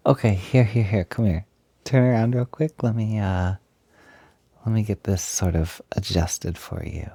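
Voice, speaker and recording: A man playing an excited, doting, gentle young roommate in an intimate roleplay audio, soft-spoken and breathy, hesitant but gushing and reassuring. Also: on the low side, unhurried, no echo. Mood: gentle, attentive.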